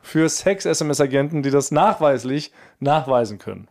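A man's voice: a little high, expressive, unhurried, a little mellow. A man chatting casually as a podcast host, riffing in a playful, mock-serious way. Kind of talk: casual, joking podcast chat.

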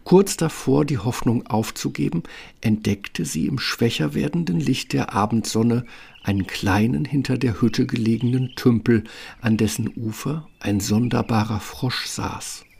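A man's voice: mid-range voice, unhurried, vocal fry, darkish tone, a little hiss or hum. A man narrating a fairytale radio play as a scripted storyteller.

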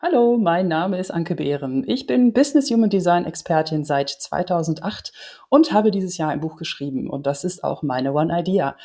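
A woman's voice: on the low side, expressive, normal speed, mellow. A woman from the Netherlands, a business coach giving practical tips in a conversational podcast monologue. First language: Dutch.

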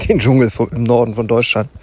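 A man hosting his own podcast, talking reflectively while walking, unhurried and thoughtful with pauses and fillers. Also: mid-range voice, little pitch movement, a little mellow. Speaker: podcast host. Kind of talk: reflective solo podcast monologue. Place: outdoors in a forest. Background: faint forest ambience and animal sounds.

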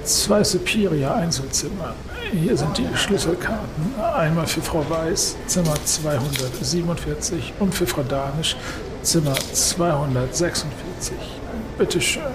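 An actor playing a polite, formal hotel receptionist in an audio drama. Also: male voice, fairly high pitch, unhurried, lightly acted. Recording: loud ambient noise throughout.

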